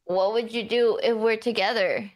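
A woman streamer in casual chat, excitable and dramatic, swinging into pleading shouts. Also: fairly high pitch, moderate pace.

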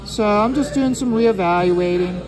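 A man talking casually and ramblingly as he draws, halting often and repeating his phrases. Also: sits high, music in the background, rough recording. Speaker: artist hosting a drawing livestream or video. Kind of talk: casual rambling talk while drawing.

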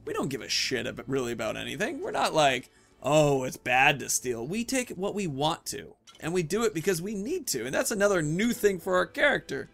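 A man's voice: fairly high pitch, big pitch swings, fairly quick, gravelly, fairly bright tone, soft background music. A man in his thirties giving casual, playful gameplay commentary, rambling and talking to himself as he plays. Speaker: gaming video host.

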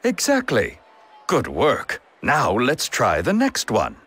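An actor voicing a small blocky pet creature in a cartoon game, a wry, patient tutor with a husky voice. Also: male voice, medium pitch, wildly swooping pitch, slow, background noise. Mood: encouraging. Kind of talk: cartoon video game acting.

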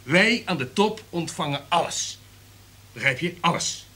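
An actor playing an imperious, irritable top executive in a radio drama, lecturing in a domineering, emphatic way. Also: male voice, fairly high pitch, animated, a little slow, bright, forceful tone, husky, exaggerated voice. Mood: lecturing, commanding.

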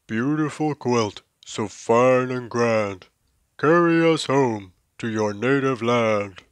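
A man voicing a persuasive, plotting camel in a children's story, with a deliberate, declaiming delivery. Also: medium pitch, smooth, no room sound, lightly acted. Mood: commanding.

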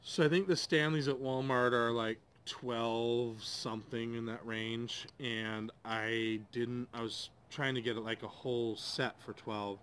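A man's voice: medium pitch, fairly bright tone, slight background noise. Casual man rambling over a tool review. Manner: rambling, hesitant, thinking aloud with many ums. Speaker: DIY channel host. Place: outdoors at a table on a lawn.

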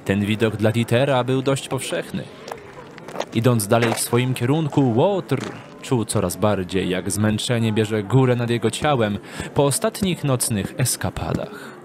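A man narrating a scripted dark fantasy audio drama in a measured storytelling manner. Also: mid-range voice, noisy background.

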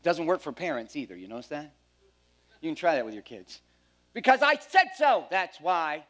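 A middle-aged man pastor preaching a sermon on a church stage, animated, swinging between loud emphasis and quiet asides. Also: a little high, moderate pace.

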